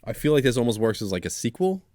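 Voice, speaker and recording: A man's voice: mid-range voice, sing-song, fast talker, darkish tone, no echo, studio quality. A man co-hosting casually, interjecting with teasing prompts and directions to his partner. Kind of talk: casual banter.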